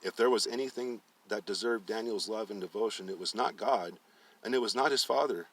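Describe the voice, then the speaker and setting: A man's voice: on the low side, a little fast, breathy edge, distant mic, low-quality recording. A man narrating fiction aloud as a storyteller, often stumbling and rereading phrases. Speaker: storyteller reading a book aloud.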